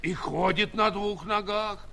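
An actor playing a curious, rustic old uncle in a children's audio play, musing and drawling. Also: male voice, high-pitched, takes it slowly, vocal fry, bright, forceful tone, a little hiss or hum, heavily acted. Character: curious, folksy old uncle, a giant neighbour.

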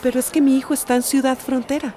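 Woman playing nervous mom in a radio drama, high soft-edged voice, hesitant, faint sound behind. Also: flat delivery, fast, slight background noise, close to an everyday voice. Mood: anxious. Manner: hesitant, worried questioning. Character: anxious, timid mother questioning a people smuggler.

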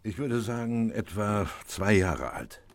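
A man's voice: on the low side, animated, slow. An actor playing a sober male police doctor in a radio drama, clinical and considered.

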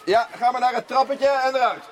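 Een acteur die in een hoorspel een zwemleraar speelt die luid korte, aanmoedigende bevelen roept. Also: male voice, very high voice, steady tone, a little fast. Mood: commanding, encouraging. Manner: loud, clipped commands. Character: loud encouraging swimming coach calling out drills. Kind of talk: Dutch radio play, shouted instructions. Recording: noisy recording with pool ambience.

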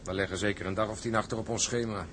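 An actor playing a weary, grumbling ship's commander in a radio drama. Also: male voice, fairly low pitch, fairly even intonation, rapid-fire, a bit bright, a little hiss or hum, natural voice.

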